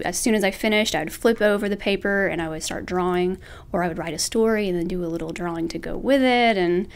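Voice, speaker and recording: Earnest, reflective young woman. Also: medium pitch. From Alabama. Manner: earnest, reflective, self-correcting. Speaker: guest artist. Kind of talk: casual interview chat.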